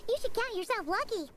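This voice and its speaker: Video game fairy sidekick: teasing, playful, lively melody, heavy noise and clicks. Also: female voice, very high-pitched. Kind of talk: video game character acting. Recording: noisy game stream with clicks.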